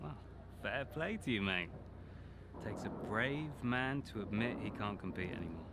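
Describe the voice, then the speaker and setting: An actor playing a smug, needling rival racing driver in a video game, falsely matey and mocking, with a drawling delivery. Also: male voice, lowish voice, expressive, moderate pace, background noise. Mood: falsely jovial. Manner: mocking, drawling, faux-innocent. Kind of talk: animated video game cutscene acting.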